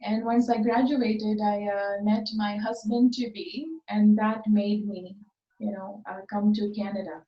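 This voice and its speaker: A woman's voice: mid-range voice, flat delivery, measured pace. A woman in her forties giving conversational answers as an interview guest. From Tanzania. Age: 40s. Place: remote online call, indoor room. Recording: muffled, roomy, distant call audio.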